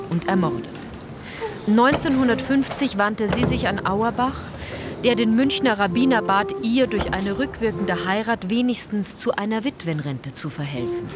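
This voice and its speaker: An actress as a sober, morally pointed narrator in a scripted radio play. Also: female voice, medium pitch, natural voice. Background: prominent music.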